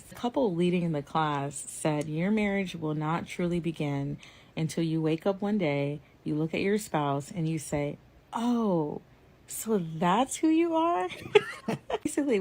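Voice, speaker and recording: A woman's voice: low voice, darkish tone. An American woman in her thirties explaining relationship advice from personal experience directly to viewers.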